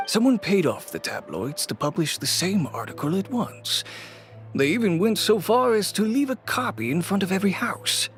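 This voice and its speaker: An actor playing a weary middle-aged fashion shop owner and cautious father, speaking in a measured, reluctant way in a video game. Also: high-pitched, sing-song, soft background music. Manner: measured, reluctant, lightly sighing.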